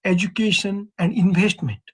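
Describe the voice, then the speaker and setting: A man in his fifties from East Nepal with a Nepali accent, giving a measured, earnest conference presentation as an earthquake engineer. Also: high-pitched, steady tone, husky. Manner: measured, earnest, poses questions to the audience.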